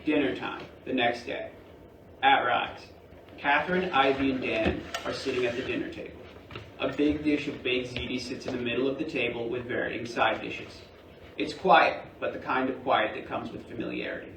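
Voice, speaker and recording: A man's voice: medium pitch, fairly even intonation, moderate pace. A man giving scripted narration as the stage-directions reader at a play reading. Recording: roomy distant stage recording, clear noise.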